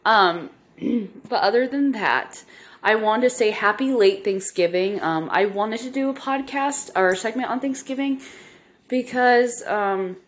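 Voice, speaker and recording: A woman in her thirties from the Midwest, hoarse and stuffed up from a cold, giving a rambling casual update to her podcast listeners with lots of ums. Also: a little high, measured pace. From Nebraska, US Midwest. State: hoarse, congested. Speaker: podcast host.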